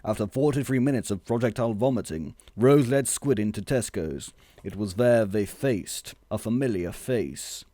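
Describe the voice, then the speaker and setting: A man reading a scripted comic story as narrator, measured and deadpan. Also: lowish voice, no room sound.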